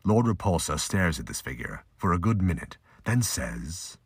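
A man's voice: sits low, sing-song, normal speed, creaky, slightly rough, dry recording, clean studio sound. A man giving scripted audiobook-style narration of a fantasy audio drama. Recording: noisy amateur home recording, clicks.

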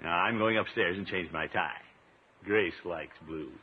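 A man's voice: deep voice, measured pace, slightly rough, lo-fi sound. A man playing a pompous, easily flustered middle-aged uncle in a 1950s radio comedy. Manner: pompous, bashful, hesitant. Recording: thin, noisy old radio recording.

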